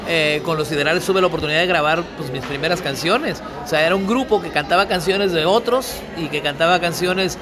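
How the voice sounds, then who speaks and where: A man's voice: a little high, brisk, few pauses. A middle-aged man, a singer-songwriter being interviewed, telling stories casually with slang and frequent laughter. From Mexico.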